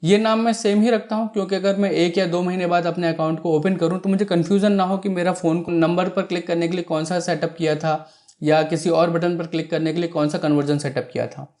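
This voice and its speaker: A man's voice: high voice, fairly even intonation, quick pace, darkish tone. A man presenting a step-by-step screen tutorial, explaining each action and often checking in, with English technical terms mixed in. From India.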